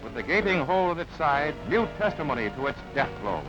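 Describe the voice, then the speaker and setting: Male newsreel narrator, fairly high, emphatic, old lo-fi soundtrack with loud music. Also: sing-song. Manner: brisk, emphatic announcer delivery. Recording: dull lo-fi archival sound over loud music.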